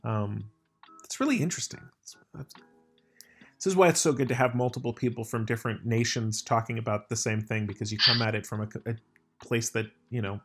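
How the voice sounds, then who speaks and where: A man's voice: mid-range voice, fairly quick, soft background music. An American man hosting a casual chat, rambling with lots of restarts and filler words. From United States.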